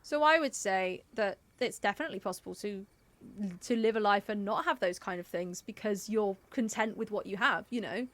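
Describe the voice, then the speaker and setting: A young woman live streaming with casual game commentary, musing out loud in a chatty, informal way. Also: mid-range voice, normal speed. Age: young adult.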